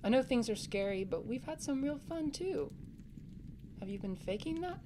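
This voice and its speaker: A woman's voice: high-pitched, lively intonation, moderate pace, noisy background. A woman playing a protective, caring young boy, a capable big brother, in a family audio drama, speaking in an earnest, reassuring way. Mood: gently probing.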